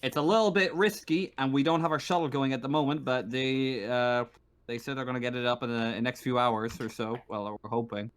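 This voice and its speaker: A man's voice: mid-range voice, steady tone, brisk, clear, resonant tone. The guy at the head of an online role-playing night, working out the answer as he says it. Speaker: game master. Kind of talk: narrating a tabletop game, casual improvising. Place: online voice call.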